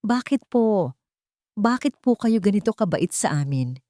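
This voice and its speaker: A woman's voice: medium pitch, big pitch swings, measured pace, warm tone, dry recording, studio quality, voice pushed up. A woman playing a humble, grateful young maid who is shy and polite, in a narrated love story. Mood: grateful, earnest.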